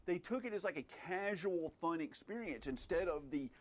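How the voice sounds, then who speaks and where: A man's voice: on the high side, animated, moderate pace. A man giving opinionated video game commentary in an exasperated, emphatic manner.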